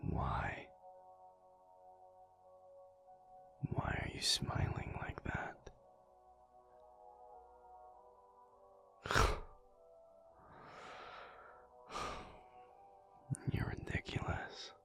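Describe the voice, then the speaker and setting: A man playing a tired, tender alpha werewolf boyfriend in an intimate roleplay audio, murmuring drowsily in a breathy, gravelly near-whisper. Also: fairly bright tone, soft background music. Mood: teasing, reluctant. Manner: slow, drowsy, murmuring with long pauses. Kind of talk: intimate roleplay audio acting, addressed to listener.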